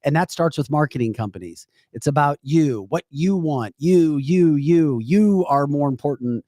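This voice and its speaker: A man's voice: a little high, animated, normal speed, warm tone, no echo, clean studio sound. A man co-hosting a political talk podcast, speaking bluntly and emphatically and repeating points for effect. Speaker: podcast co-host. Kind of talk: political talk show chat.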